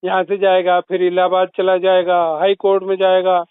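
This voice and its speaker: A man speaks over a thin, phone-like line with a high, smooth voice. He talks firmly and insistently and keeps repeating his case. The sound is close and dry. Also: monotone, moderate pace, dry recording. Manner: firm, insistent, argues his point repeatedly. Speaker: caller in a leaked phone call. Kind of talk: phone conversation.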